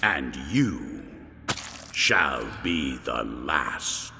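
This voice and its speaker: An actor playing an ancient, fanatically devout penitent knight in a video game, speaking slowly and solemnly in archaic liturgical phrases with a gravelly voice. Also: male voice, extremely low pitch, big pitch swings, background noise, exaggerated voice. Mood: grave menace. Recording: long cavernous reverb, music behind.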